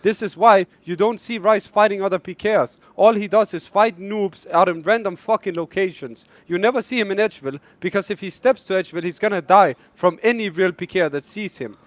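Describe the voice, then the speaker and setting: A man's voice: sits high, fairly quick, hardly any pauses. A man from Iran with an Iranian accent, a guest in a heated interview, blunt and combative, swearing freely. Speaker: guest streamer.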